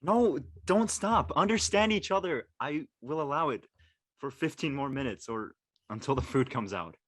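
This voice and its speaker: A man playing a brash, sarcastic and impatient high school student in a drama read. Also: expressive, moderate pace. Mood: demanding. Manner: blunt, sarcastic.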